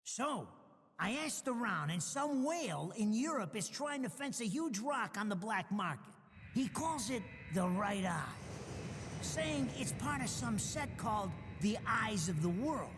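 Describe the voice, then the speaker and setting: High-pitched weaselly American hustler. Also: male voice, very animated, measured pace, a bit bright, slightly rough, exaggerated voice. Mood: chatty, scheming. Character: weaselly, wheedling small-time male informant, excitable and greedy. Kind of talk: video game cutscene acting. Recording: noisy, long echoing reverb.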